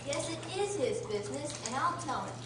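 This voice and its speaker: A woman's voice: high-pitched, expressive, a little fast. A woman playing a defensive, tattling gardener in a radio drama. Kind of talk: stage radio drama acting. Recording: echoey hall, distant mic, loud noise.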